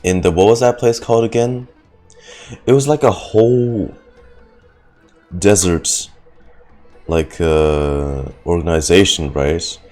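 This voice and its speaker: A man's voice: deep voice, slow pace, pauses often, darkish tone, soft background music. A man giving casual livestream reactions to a game, groaning and exasperated. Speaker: game streamer reacting to a story quest.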